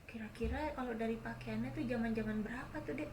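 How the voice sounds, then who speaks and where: A woman's voice: on the high side, fairly even intonation, fast talker, noisy background. A woman hosting a casual interview, curious and prompting with questions. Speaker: host, interviewer.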